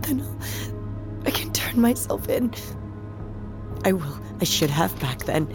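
A woman's voice: lowish voice, animated, slow pace. A woman acting a guilt-ridden wrongdoer in an audio drama, pleading for forgiveness in faltering phrases that can sink to a whisper. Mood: remorseful, desperate. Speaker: actor in a fiction podcast. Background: faint music.

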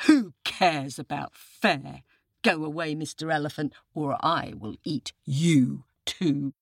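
A woman's voice: low voice, gravelly, dry recording, studio quality, heavily acted. A woman voicing a sly, hungry male tiger in a children's story, boastful and menacing, with roaring, gloating speech. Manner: roaring, gloating, drawn-out words.

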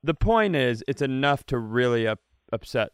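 Comedy podcast co-host, male, fast riffing banter, resonant voice, dead-dry mic. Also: mid-range voice, expressive, smooth, no echo. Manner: rapid riffing, halting restarts.

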